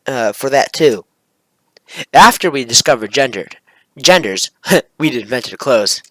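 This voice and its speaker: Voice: mid-range voice, no echo, poor audio. A video creator reading their own script aloud, stumbling and correcting themselves, with casual slangy asides. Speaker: video creator and amateur visual novel maker.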